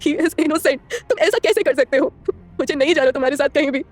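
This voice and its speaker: A woman's voice: high voice, unhurried, a little mellow, pitched above the performer's own voice. A woman playing a tearful, distraught young woman. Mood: tearful, pleading. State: crying.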